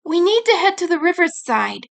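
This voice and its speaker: A woman's voice: very high-pitched, big pitch swings, normal speed, creaky, voice pushed up, exaggerated voice. A woman voicing a kindly little fairy guide in a storybook, speaking in a reassuring, instructive way. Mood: guiding, reassuring.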